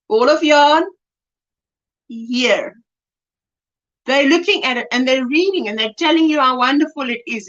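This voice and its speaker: A woman's voice: high-pitched, fairly bright tone, lo-fi sound. A South African woman with an Afrikaans accent, hosting a casual crochet livestream at home, chatty and laughing often as she reads viewer comments. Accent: Afrikaans-accented South African English. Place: indoors at home by a window.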